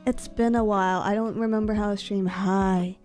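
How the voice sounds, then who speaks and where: A sick woman streamer speaks softly right into a close mic, her husky voice congested and mellow. She chats casually and reads out donations with a quiet, worn-down delivery. Faint music plays under her. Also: medium pitch, fairly even intonation, normal speed. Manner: soft-spoken, mic held close. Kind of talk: casual chat reading donations. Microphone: close desk mic.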